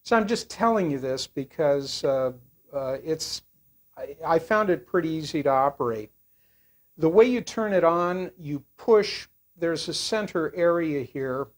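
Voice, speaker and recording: An older man giving a casual, unscripted product demonstration, talking in a halting, rambling, matter-of-fact way. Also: on the high side, expressive. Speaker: product reviewer.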